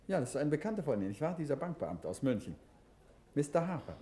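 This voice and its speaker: An actor playing a calm, wry male detective who keeps his plans to himself, with dry, teasing, understated delivery in a 1950s radio play. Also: on the high side, fast talker. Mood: probing. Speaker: amateur detective.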